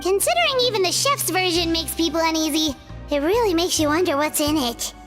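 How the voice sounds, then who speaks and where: A woman voicing a tiny, curious and excitable girl companion in a video game, with a squeaky, childlike voice and expressive reactions. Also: brisk, music in the background. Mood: doubtful. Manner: talks of self in third person, exclaims, reacts expressively. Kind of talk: animated video game voice acting.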